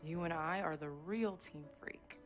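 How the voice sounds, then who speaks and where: Low-toned, devoted young girlfriend. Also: unhurried, faint music behind. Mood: devoted, coaxing. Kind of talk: video game cutscene acting.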